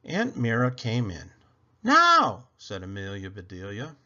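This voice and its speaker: A man reading a children's picture book aloud as a storyteller, with expressive, dramatic emphasis. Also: medium pitch, unhurried, highs cut off. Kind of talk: animated read-aloud narration.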